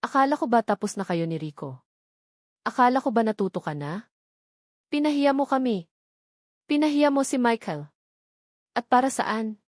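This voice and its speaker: A woman's voice: on the high side, animated, moderate pace, long pauses, darkish tone, dry recording, studio quality, pitched above the performer's own voice. A woman voicing a furious middle-aged mother who scolds with indignant, accusing questions, in an audio drama.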